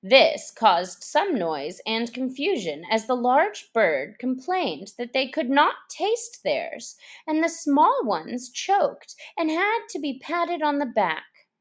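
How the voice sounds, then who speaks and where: A woman reading a children's story aloud as an expressive audiobook narrator. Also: high voice, slow pace. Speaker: storyteller, reader.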